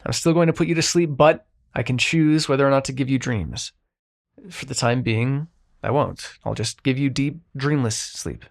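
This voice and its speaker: A man playing a grumpy but reluctantly kind immortal dream-bringer in an ASMR roleplay, brusque and halting. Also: medium pitch, vocal fry, close to an everyday voice. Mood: brusque, caring. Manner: brusque, exasperated, halting, softening into concern.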